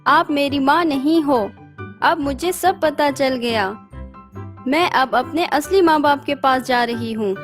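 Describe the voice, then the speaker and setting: A woman playing a hurt, reproachful young girl in a children's storybook tale, plaintive and deliberate. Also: high-pitched, music in the background.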